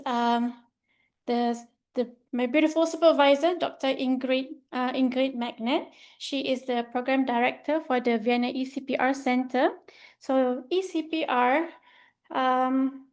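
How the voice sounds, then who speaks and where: A woman's voice: high voice, darkish tone. A young woman from Malaysia, a medical trainee, presenting a report in a casual, loose way with fillers, talking from slides. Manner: informal, loose phrasing with fillers, speaks from slides.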